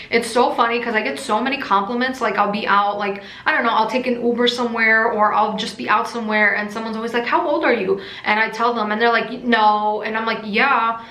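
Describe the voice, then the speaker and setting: Chatty, bright-voiced young American woman. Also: fairly high pitch, roomy sound, poor audio. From Russia, raised in the United States. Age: 20s. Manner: chatty, rambling, giggly, lots of "like". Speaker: crystal shop owner and vlogger. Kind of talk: casual Q&A chat to camera.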